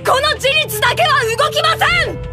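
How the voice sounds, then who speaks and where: A woman's voice: extremely high pitch, moderate pace. A woman voicing an earnest teenage boy soldier in an anime game, declaiming with urgent, desperate passion. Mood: urgent pleading. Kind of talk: dramatic Japanese anime game acting. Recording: loud orchestral music behind. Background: loud dramatic music.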